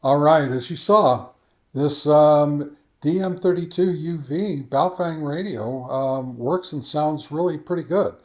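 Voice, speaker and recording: A man hosting a casual how-to video, talking informally and somewhat haltingly as he explains each step. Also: on the high side, darkish tone. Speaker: host of a ham radio how-to channel. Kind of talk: casual tutorial narration.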